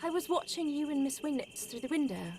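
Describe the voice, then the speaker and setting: Woman as very high-pitched anxious young-sounding servant. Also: animated, fairly quick. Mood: urgent. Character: anxious, earnest woman servant under suspicion. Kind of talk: 1940s radio horror acting. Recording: noisy background, insect-like ambience.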